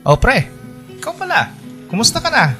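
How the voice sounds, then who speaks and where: A man's voice: very high-pitched, wildly swooping pitch, moderate pace, heavily acted. An actor playing a devoted, sentimental young male lover in a radio drama, with an earnest way of talking. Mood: friendly. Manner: teasing endearments, pleading and sentimental. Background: background music.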